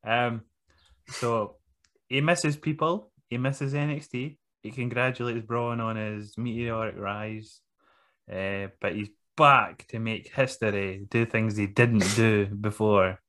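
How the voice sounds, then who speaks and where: A man's voice: on the low side, fairly even intonation, slow pace, a bit bright. A man co-hosting a podcast, laid-back and dry, reading out trivia and chuckling between remarks. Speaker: podcast co-host. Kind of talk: casual chat.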